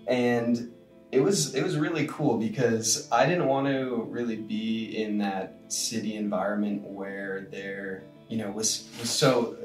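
Young guy, lowish voice, laid back rambling, roomy echo. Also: a little slow, faint music behind. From Connecticut, USA. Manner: relaxed, rambling with fillers. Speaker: podcast co-host. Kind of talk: casual chat. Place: conference room, indoors. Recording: roomy sound from a distant mic.

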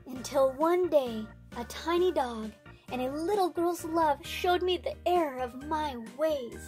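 A woman's voice: sits high, measured pace, a little mellow, faint music behind. A woman voicing a boastful superhero bunny from a storybook, reading in a declamatory way. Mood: earnest. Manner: declamatory read-aloud.

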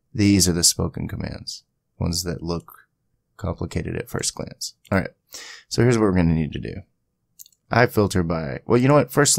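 A man giving a casual screen-capture software tutorial, thinking aloud informally as he goes. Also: sits low, gravelly, no echo, clean studio sound. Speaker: tutorial host.

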